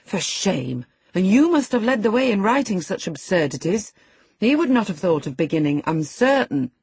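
Woman putting on a bossy housekeeper, sharp and scornful, husky, dry close sound. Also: medium pitch, very animated, moderate pace, no echo, voice pushed up, exaggerated voice. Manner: sharp, scolding. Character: stern older housekeeper, scornful and scolding.

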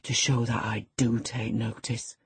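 A woman's voice: a bass voice, little pitch movement, measured pace, creaky, slightly rough, pitched below the performer's own voice, exaggerated voice. A woman voicing a sullen, jealous sixteen-year-old farm boy with a surly, insistent manner in a storybook reading. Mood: reproachful.

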